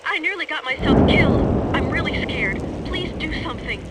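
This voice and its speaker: A panicked young woman in a video game, pleading and demanding. Her voice is extremely high and agitated, with clear rainy storm noise around it. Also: animated, normal speed. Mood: frightened, pleading. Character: frightened, demanding young woman stranded on a rooftop. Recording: noisy rainstorm behind the voice.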